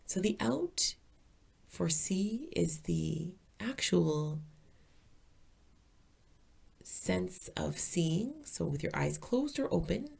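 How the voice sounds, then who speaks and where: A woman leading a guided meditation as a teacher, calm, soothing and deliberate, with a soft, breathy voice. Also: fairly low pitch.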